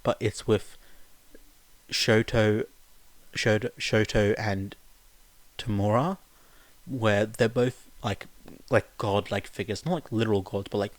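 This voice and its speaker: A man's voice: fairly low pitch, steady tone, takes it slowly, long pauses, no room sound. A man who works as an amateur podfic reader, speaking informally as he narrates and chats to listeners. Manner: informal, rambling, self-deprecating. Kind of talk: amateur story narration with sung verses, casual rambling chat afterwards.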